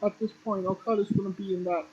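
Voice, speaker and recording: A man's voice: high-pitched, little pitch movement, fast, highs cut off, very noisy. A man hosting a casual wrestling podcast, matter-of-fact, reeling off standings with offhand swearing. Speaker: podcast host.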